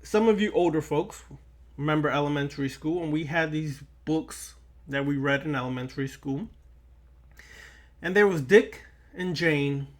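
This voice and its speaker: A man giving a spiritual lecture straight to his listeners, emphatic and repeating phrases for weight. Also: fairly high pitch. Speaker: occult lecturer.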